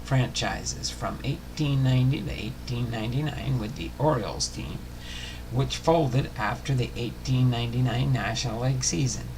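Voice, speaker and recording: Gravelly-voiced man hosting a livestream. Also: medium pitch, moderate pace, noisy background. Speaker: host of a baseball biography livestream. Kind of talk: casual livestream talk and reading aloud a biography.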